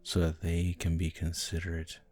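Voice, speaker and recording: A man with a low, mellow voice leads a guided sleep hypnosis over music. His delivery is soothing and gentle, with little rise or fall in tone. He leaves long pauses and softens at times toward a breathy near-whisper. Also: monotone. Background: background music.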